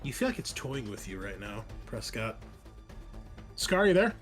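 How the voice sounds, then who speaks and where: A man's voice: fairly low pitch, big pitch swings, measured pace, over music. A man running a tabletop horror roleplaying game as game master, talking conversationally and working through rules with fillers.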